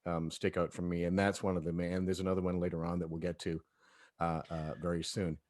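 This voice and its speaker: A man's voice: low voice, level tone, fairly quick, darkish tone. A man hosting a casual cast discussion about an audio drama, enthusiastic and prompting the others.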